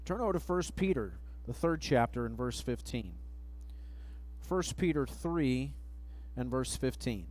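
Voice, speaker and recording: A middle-aged man preaching a sermon at a church pulpit mic, reading scripture slowly and letting phrase ends trail off. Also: mid-range voice, animated, a little mellow, rough recording. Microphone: pulpit microphone, far camera.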